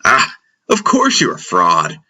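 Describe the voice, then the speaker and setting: A man voicing a seasoned infiltrator agent, a blunt, teasing mentor who talks in a brisk, commanding way. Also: deep voice, wildly swooping pitch, pushed and bright, husky, full character voice.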